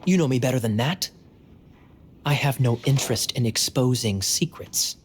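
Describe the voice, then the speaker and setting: A man playing a suave young knight in a video game, talking in a wry, teasing, smooth way. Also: mid-range voice, moderate pace, natural voice. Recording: faint background ambience noise.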